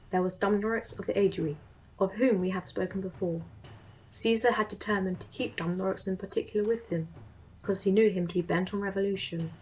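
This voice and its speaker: A young woman giving a formal, steady dramatic reading of an ancient historical account. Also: medium pitch, husky, low-quality recording. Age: young adult. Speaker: student reader.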